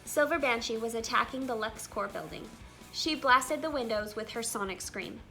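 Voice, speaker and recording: A woman hosting a children's read-aloud, telling a storybook in an animated, expressive way with emphatic exclamations. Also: a little high, moderate pace. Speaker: read-aloud channel host. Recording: music behind the voice. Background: music.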